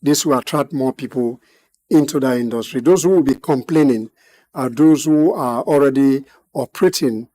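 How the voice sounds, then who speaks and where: A man gives measured expert commentary in a TV studio. His voice is mellow and dark, his phrasing formal and punctuated by frequent hesitations. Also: mid-range voice. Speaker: guest analyst.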